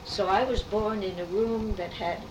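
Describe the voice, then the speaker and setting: A woman's voice: medium pitch, fairly even intonation. Elderly woman recalling her life, distant tape. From New York City. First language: French. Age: 80s. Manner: reminiscing, unhurried storytelling with asides. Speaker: artist interviewee. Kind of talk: oral history interview. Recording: roomy, distant, noisy tape.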